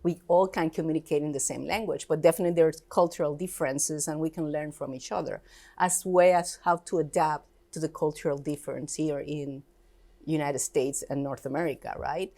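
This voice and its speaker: Interview guest: woman, Spanish native, Puerto Rican accent, very low voice, earnest and emphatic. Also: fairly even intonation, brisk, mellow. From Puerto Rico. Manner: earnest, emphatic, slightly non-native grammar. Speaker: education institute director, guest. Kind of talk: casual interview conversation.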